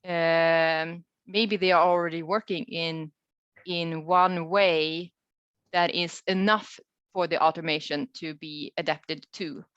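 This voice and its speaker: A woman with a fairly low voice answers questions as a researcher in an online talk. She is thoughtful and hesitant, often pausing mid-sentence. The sound is dry and close, but somewhat dull and lo-fi. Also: steady tone, fairly bright tone, smooth, no room sound. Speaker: researcher presenting a study as webinar guest. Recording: dull, lo-fi online call audio.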